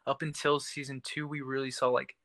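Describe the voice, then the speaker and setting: A man's voice: mid-range voice, level tone, brisk, fairly bright tone, dry recording, clean studio sound. A young man co-hosting a podcast, talking about television in an informal, conversational way. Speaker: podcast co-host. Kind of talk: casual TV discussion.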